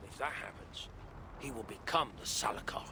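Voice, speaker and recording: An actor playing a stubborn, eccentric old dwarf inventor in a video game, musing in folksy, rambling, colloquial speech. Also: male voice, medium pitch, normal speed, creaky, background noise, close to an everyday voice. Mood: thoughtful, insistent. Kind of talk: dramatic video game acting.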